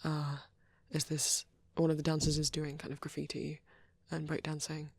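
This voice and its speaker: A woman with a low, husky voice speaks softly and close to the microphone in a very dry recording. She rambles in an ASMR style, shy and hesitant, often sinking into a breathy half-whisper. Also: completely flat delivery, moderate pace, no room sound. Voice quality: soft, breathy. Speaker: ASMR roleplay creator. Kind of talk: soft rambling ASMR chat.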